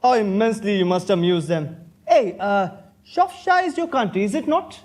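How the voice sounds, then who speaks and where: High-pitched, airy young gentleman acted by a man. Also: lively intonation, normal speed. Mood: airy, teasing. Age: young adult. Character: idle, witty young Victorian gentleman, flippant and self-assured. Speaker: student actor in a stage play. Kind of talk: stage comedy of manners acting.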